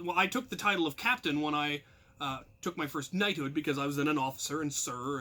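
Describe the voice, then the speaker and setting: An American man telling a casual, rambling personal story in an animated, self-deprecating way. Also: on the high side. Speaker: storyteller and channel host.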